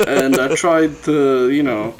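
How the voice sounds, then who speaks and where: A man's voice: mid-range voice, background noise. A young man co-hosting a podcast in casual, blunt, sweary banter. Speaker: podcast co-host.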